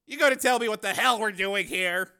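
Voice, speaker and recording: Man acting an agitated, high-pitched, sardonic news cameraman. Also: a little fast, bright, forceful tone, clear, resonant tone, exaggerated voice. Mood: uneasy, wry. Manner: agitated, protesting, sarcastic. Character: nervous, exasperated, sardonic young-sounding male TV news cameraman. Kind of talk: acted audiobook dialogue.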